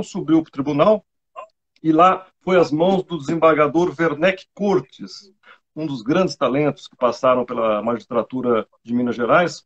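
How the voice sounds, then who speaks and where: Brazilian man, fairly high husky voice, explanatory lawyer, anecdotal, tag questions, dull lo-fi radio sound. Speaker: lawyer guest. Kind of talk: conversational legal commentary on radio.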